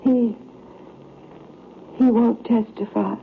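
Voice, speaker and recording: A woman's voice: a little high, steady tone, slow, vocal fry. An actress playing a desperate, insistent woman pleading to be believed in an old-time radio drama. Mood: distraught, pleading. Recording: tinny, noisy old radio recording.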